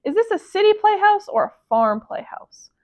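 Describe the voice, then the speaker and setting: Woman voicing an insistent high-pitched little farm girl. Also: big pitch swings, normal speed. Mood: eager, insistent. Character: eager, insistent, bossy young farm girl. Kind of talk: storybook character voice.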